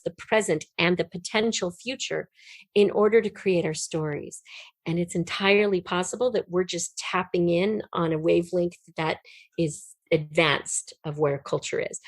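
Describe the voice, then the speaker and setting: A middle-aged woman giving an earnest, emphatic and expressive explanation as a guest in a conversational interview. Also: on the low side, no room sound. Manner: earnest, emphatic, expressive storytelling with illustrative metaphors. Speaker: podcast guest, writer.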